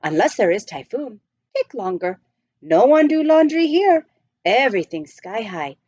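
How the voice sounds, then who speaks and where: A woman voicing a brisk, polite male immigrant laundryman in a storybook, speaking clipped broken English. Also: fairly high pitch, sing-song, a little mellow, no room sound, muffled recording. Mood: matter-of-fact.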